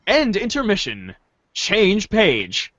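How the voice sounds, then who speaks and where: A podcast host reads a webcomic script out loud in a playful, theatrical way. The pitch swings around a lot. The recording is dry and a little dull. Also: huge pitch swings, slow pace, dry recording. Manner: playful, theatrical page-change calls. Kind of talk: dramatic group reading of a webcomic script.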